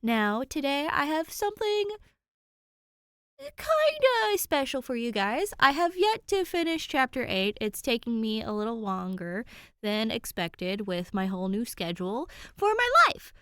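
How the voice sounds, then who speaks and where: A woman's voice: fairly high pitch, big pitch swings, a little slow, fairly bright tone, smooth, no echo, clean studio sound. A woman hosting and narrating fan fiction, chatting to listeners and reading expressively. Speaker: podfic reader and channel host.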